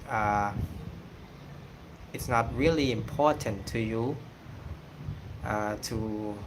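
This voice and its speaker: A man from Cambodia with a Cambodian accent, whose first language is Khmer, talking casually on a livestream and pausing often with fillers. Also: mid-range voice, background noise. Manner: hesitant, many pauses and fillers. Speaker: singing channel host.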